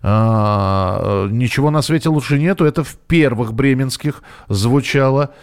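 A man with an average-pitched voice hosts a casual live phone-in show. He speaks informally and hesitantly, working out his thoughts as he goes. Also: a little slow. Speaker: radio host.

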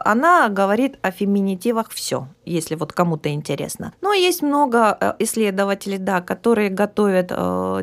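A middle-aged woman at an ordinary speaking pitch, talking as an academic guest on a podcast. She lays out her points patiently and explains them step by step, sometimes drifting off topic and stopping to find her thread again. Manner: measured, explanatory, loses her thread at times. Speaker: philologist guest, department head. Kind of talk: podcast interview.

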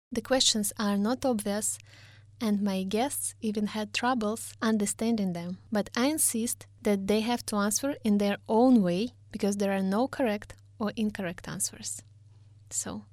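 A woman's voice: mid-range voice, no room sound. A woman hosting a podcast, reading her introduction and questions in an earnest, inviting way. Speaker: podcast host.

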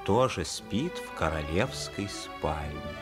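A man narrating a radio play as a measured, gentle storyteller. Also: deep voice, expressive. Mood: storytelling. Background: violin music.

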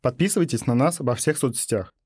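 A man's voice: medium pitch, a little fast, a little mellow, slightly rough, no echo, clean studio sound. A man co-hosting a podcast, explaining his views at length in a casual discussion. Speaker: podcast co-host.

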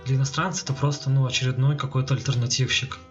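A man's voice: mid-range voice, steady tone, fast. Guy on a podcast, chatty and argues his point, kinda muffled, music in the bg. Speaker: podcast co-host. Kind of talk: casual discussion. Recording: muffled sound with music behind.

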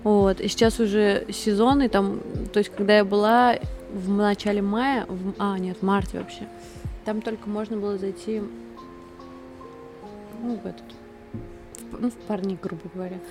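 A woman's voice: mid-range voice, fairly even intonation, normal speed, over music. A woman talking casually as a guest in a conversational interview. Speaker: podcast guest, city guide blogger.

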